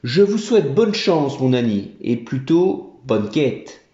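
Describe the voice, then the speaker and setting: A man voicing a calm male traveller who asks probing, dry questions. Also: medium pitch, slow pace. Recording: roomy, dull home recording.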